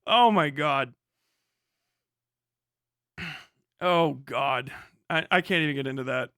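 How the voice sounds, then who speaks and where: A man's voice: fairly high pitch, slow pace, sharp tone, dry recording, clean studio sound. An American man giving casual, off-the-cuff livestream commentary in a rambling, sardonic, profane way. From United States. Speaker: live streamer, political commentator.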